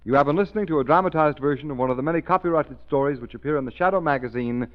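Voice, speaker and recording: A man's voice: on the high side, racing, loud music behind the voice. A male radio announcer with a polished, formal 1930s announcing style. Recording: tinny 1930s radio broadcast.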